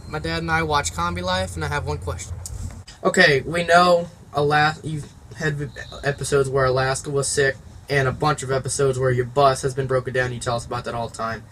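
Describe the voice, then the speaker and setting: A man records a casual video message as a viewer with a question. His voice is on the higher side, and there is faint noise behind it inside an old bus. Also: pushed and bright.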